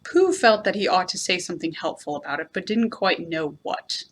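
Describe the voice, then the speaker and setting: A woman storyteller narrating a children's storybook in an expressive, deliberately phrased read-aloud style. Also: fairly low pitch, a little hiss or hum. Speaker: library storyteller.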